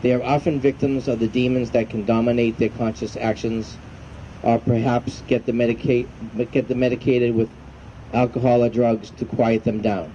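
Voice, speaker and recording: A man delivers a formal, solemn address at an outdoor ceremony. His voice is very dark and soft-edged, of medium pitch. The recording is dull, with faint ambient noise behind him. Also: level tone. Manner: solemn, measured reading. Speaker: veterans' group commander. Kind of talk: formal prepared ceremonial speech. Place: outdoor evening ceremony in a park. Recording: muffled, faint outdoor hum and traffic noise.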